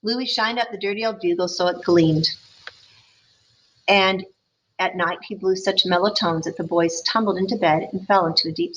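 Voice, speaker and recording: Bright-voiced teacher reading a storybook. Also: female voice, medium pitch, low-quality recording. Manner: expressive, chatty asides to listeners. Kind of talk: storybook read-aloud with comments.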